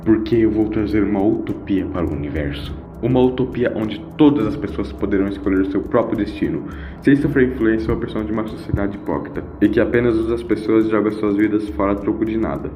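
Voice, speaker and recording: Man voicing a grandiose dark celestial villain, low and echoey. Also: fast, natural voice. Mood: grandiose, zealous. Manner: dark, dramatic, declamatory. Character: the Wanderer, renegade celestial being, grandiose would-be utopian. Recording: boxy, echoey lo-fi with music.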